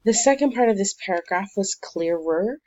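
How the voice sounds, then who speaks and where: A woman teacher calmly going through essay feedback, encouraging but critical, with frequent fillers. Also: medium pitch, normal speed, muffled recording. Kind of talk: recorded one-to-one feedback on a student essay.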